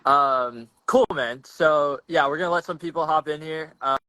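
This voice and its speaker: A man's voice: a little high, moderate pace, pushed and bright, no room sound, rough recording. A young man hosting a podcast in a casual, slangy, rambling chat over a video call, often restarting his sentences. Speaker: podcast host.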